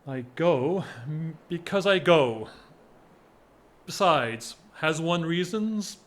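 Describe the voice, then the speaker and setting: A middle-aged English gentleman in a period radio play, lovesick and whimsical. His voice sits fairly high for a man and moves very slowly, with measured, theatrical phrasing and wry self-mockery. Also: animated. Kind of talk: period audio drama acting.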